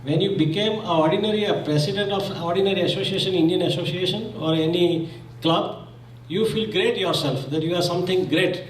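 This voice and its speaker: A man's voice: high voice, a little fast, hardly any pauses, a little hiss or hum. A man from Zambia whose first language is Gujarati, giving an earnest memorial speech and restarting his phrases as he goes. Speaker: speaker at a memorial meeting. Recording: echoey hall, mic from far off.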